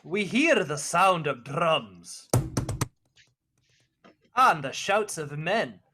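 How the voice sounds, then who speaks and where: A narrator telling a story in deliberate, scripted fashion in the style of a 1940s radio play. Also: mid-range voice, lively intonation, over music.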